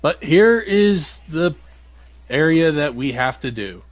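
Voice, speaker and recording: A man's voice: a little high, expressive, slow pace, no room sound. A man giving casual game commentary as he plays, grumbling and critical with some mild swearing. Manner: grumbling, critical, mildly profane. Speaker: let's play host playing the game.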